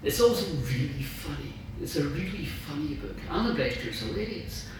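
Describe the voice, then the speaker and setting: A man's voice: on the high side, sing-song, moderate pace. A man giving an informal after-dinner speech as club president, in an enthusiastic, emphatic manner. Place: large room at a club dinner. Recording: echoey room from far off, noisy.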